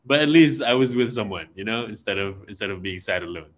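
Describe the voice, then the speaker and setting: Malaysian guy, kinda low voice, hesitant rambly podcast chat, lots of uh and you know. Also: expressive, fast talker, a bit bright. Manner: rambling, hesitant, many fillers and restarts. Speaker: podcast co-host. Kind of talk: casual chat.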